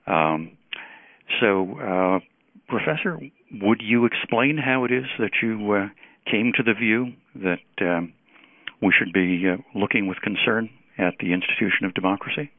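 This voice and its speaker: Halting, deep-voiced moderator on phone. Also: male voice, no room sound. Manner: very halting, slow to reach the point. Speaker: moderator, interviewer. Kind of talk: unscripted interview questioning. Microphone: telephone. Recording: dry, narrow telephone line.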